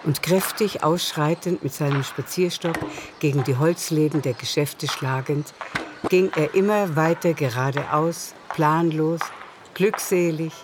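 A woman's voice: low voice, measured pace, background noise. A woman narrating a literary radio play in a scripted, storytelling style.

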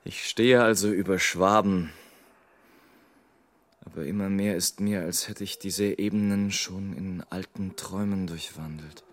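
An actor playing a melancholic, dreamy airship traveller in a German radio play, speaking in a poetic, theatrical monologue. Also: male voice, low-pitched, steady tone. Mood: rapturous, wistful. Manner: slow, poetic, dramatic delivery with swells and hushed drops.

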